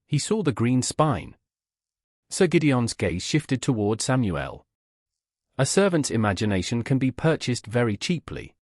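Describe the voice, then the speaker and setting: A man reading historical romance fiction as a measured, formal audiobook narrator. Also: medium pitch, sing-song, a little mellow, no echo, studio quality.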